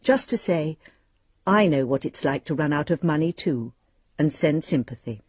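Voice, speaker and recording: A woman's voice: deep voice, lively intonation, normal speed, a little mellow, breathy edge, no room sound, dull audio. An actress playing a formal but kindly female bank lending advisor, voicing her letters in a radio drama. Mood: confiding, sympathetic. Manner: official, precise letter-reading.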